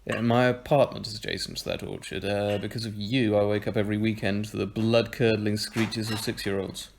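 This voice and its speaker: A man's voice: fairly low pitch, level tone, fairly quick, creaky, noisy background. A man playing a sceptical, bemused young newcomer in an audio drama, speaking in a dry, hesitant, stammering way.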